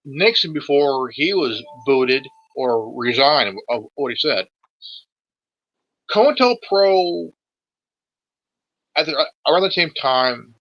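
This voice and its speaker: A man's voice: medium pitch, no echo, dull audio. A man in his forties in a casual political discussion, sweary and emphatic, often stumbling and repeating his words. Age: 40s.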